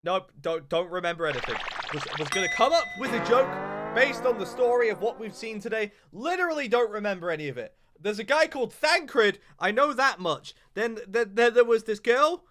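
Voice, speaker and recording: A man's voice: sits high, animated, fairly quick, background noise. A young man streaming a game, chatting casually in a rambling way with lots of fillers and restarts. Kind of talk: casual livestream chat while gaming. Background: game music.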